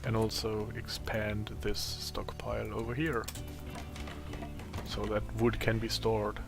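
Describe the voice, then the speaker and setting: A man with a raspy, tired voice giving casual gameplay commentary, thinking aloud as he plays. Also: fairly low pitch, completely flat delivery, music in the background. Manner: slow, thinking aloud while playing. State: voice strained from a long day of talking.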